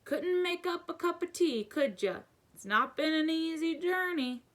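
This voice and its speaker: A woman voicing a big, gruff, jovial giant man for a children's story, blunt and colloquial in manner. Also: very high voice, animated, unhurried, a bit bright, pitched above the performer's own voice, exaggerated voice. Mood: casual, hearty.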